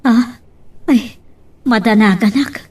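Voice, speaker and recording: A woman's voice: fairly high pitch, takes it slowly, a little mellow, husky, distant mic. A woman playing a worried, devout mother in an Ilocano melodrama, speaking with an Ilocano accent. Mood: anxious. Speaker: voice actor in a drama.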